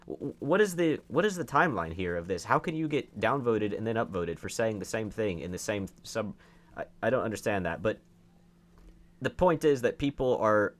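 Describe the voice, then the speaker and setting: A man giving opinionated online commentary, reading out and reacting to posts in an argumentative, emphatic way, with rhetorical questions and repeated phrases. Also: medium pitch.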